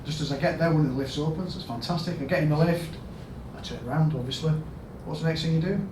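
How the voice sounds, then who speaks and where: A man with a Yorkshire accent giving a storytelling conference talk as a health service chief executive, asking the audience questions and rising to loud emphatic bursts. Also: on the high side, fast talker. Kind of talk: storytelling keynote speech. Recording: echoey hall, mic at a distance, background noise.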